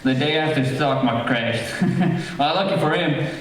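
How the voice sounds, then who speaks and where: A man's voice: a little high, normal speed, slight background noise, close to an everyday voice. A man as a talkative, echoey neighborly police sergeant. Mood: chatty, probing. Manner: folksy, rambling, nosy. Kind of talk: old-time radio acting on stage. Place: theatre stage. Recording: echoey theatre, distant mic.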